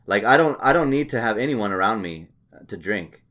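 A middle-aged man talking candidly and casually, in a halting, reflective way with frequent pauses. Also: on the low side, animated, fairly bright tone.

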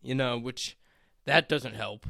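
A twenty-year-old man with a pronounced lisp, chatting casually and swearing freely as a podcast host, in an animated, self-deprecating way. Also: mid-range voice, slow pace, creaky, no echo. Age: 20, turning 21 soon. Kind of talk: casual chat.

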